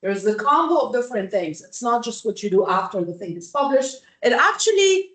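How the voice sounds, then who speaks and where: A woman's voice: fairly high pitch, big pitch swings, a little fast, a bit bright. A middle-aged woman from Lebanon giving a workshop lecture over slides on a video call, conversational, often checking in with "right?" and filler words. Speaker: visiting academic presenting a workshop.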